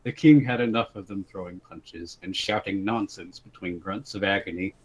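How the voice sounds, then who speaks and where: A man's voice: low voice, normal speed, husky, low-quality recording. A man giving a dramatic, mock-serious reading of an absurd comic story, often amused by it. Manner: amused, breaking into giggles.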